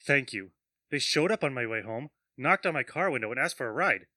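A man's voice: medium pitch, animated, a little fast, no echo, studio quality. A man acting a jittery, skeptical man who is spooked and agitated, in an amateur audio drama. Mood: nervous. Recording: loud music over the voice.

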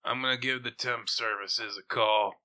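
A man's voice: medium pitch, fairly even intonation, moderate pace, bright, forceful tone. Piercing, sarcastic man at a game table. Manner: gruffly sarcastic, foul-mouthed. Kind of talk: in-character banter at a game table.

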